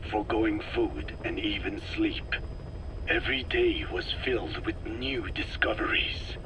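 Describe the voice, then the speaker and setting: An actor playing an ancient, soft-spoken scientist in a video game, with a breathy aged voice and a measured, formal way of telling things. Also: male voice. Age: older. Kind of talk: dramatic video game dialogue. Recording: noisy cassette tape with vehicle-like rumble.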